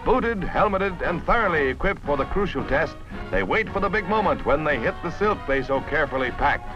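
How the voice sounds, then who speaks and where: A man's voice: on the high side, animated, brisk, muffled recording, over music. An American man narrating a 1950s newsreel in a polished, dramatic, declamatory style on a vintage soundtrack. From United States.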